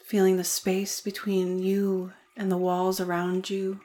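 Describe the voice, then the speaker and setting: A woman leading a guided meditation in a soothing, deliberate manner with gentle pauses. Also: mid-range voice, monotone.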